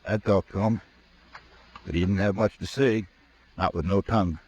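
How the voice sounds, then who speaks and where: An actor playing a grim, hard-riding cowboy in a 1950s radio drama, speaking in a terse, low voice. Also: male voice, steady tone, normal speed, darkish tone, husky. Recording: muffled old radio recording.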